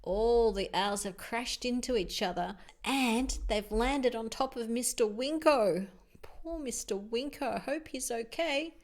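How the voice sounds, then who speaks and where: A woman's voice: a little high, measured pace. A woman reading a picture book aloud to children, adding chatty asides about the pictures and putting questions to her young listeners.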